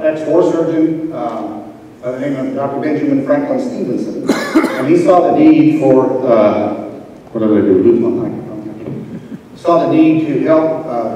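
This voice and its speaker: An older man from a small town giving an informal local history talk in a relaxed, halting way with many fillers. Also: mid-range voice, muffled recording, slight background noise. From Wellington, Kansas. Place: large school auditorium. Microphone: handheld mic, recorded from a far camera. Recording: echoey hall from far off.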